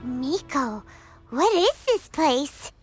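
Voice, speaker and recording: An extremely high, squeaky little fairy companion from a video game. The childlike voice swoops about excitably, with a timid streak and self-references in the third person. Music plays clearly underneath. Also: female voice, very slow, exaggerated voice. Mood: uneasy. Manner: refers to self by name, exclamatory. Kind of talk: English video game dub acting.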